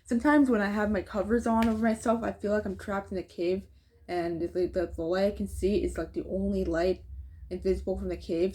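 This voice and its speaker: A woman with a soft, mellow tone and a middle-pitched voice reads a children's storybook aloud. She delivers it as rhyming narration and slips into casual, chatty asides. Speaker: storybook reader.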